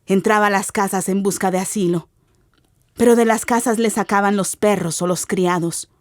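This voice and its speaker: A woman giving an expressive, theatrical narration of a literary radio drama as its narrator. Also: medium pitch, moderate pace, no echo, studio quality. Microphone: close studio mic.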